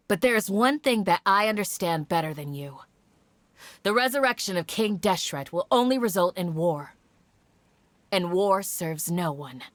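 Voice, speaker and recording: A woman voicing a dignified, firm desert village guardian in a video game, measured and authoritative. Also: fairly low pitch, dry recording.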